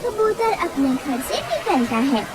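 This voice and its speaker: A woman's voice: very high-pitched, huge pitch swings, a little slow, over a noisy crowd, heavy background noise. A woman narrating a scripted children's cartoon story.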